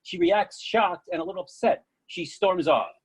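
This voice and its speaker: A man narrates from a script, reading stage directions. His voice is a little higher than most men's and noticeably husky. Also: moderate pace.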